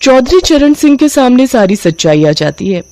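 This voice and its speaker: A woman's voice: sits high, sing-song, normal speed, mellow, no room sound. A woman reading scripted narration for a moral bedtime story.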